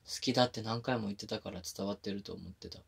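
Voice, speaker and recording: A man's voice: lowish voice, fast talker, creaky, husky, exaggerated voice. A man playing a smitten, apologetic young boyfriend in an intimate ASMR voice drama, speaking casually and tenderly. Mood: hurt, apologetic.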